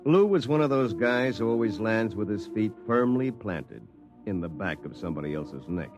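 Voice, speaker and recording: Wry lawyer narrator male actor, 1950s radio style: low, deadpan, over background music. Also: moderate pace, natural voice. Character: wry, hapless young lawyer telling his own story. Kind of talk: 1950s radio acting, first-person narration.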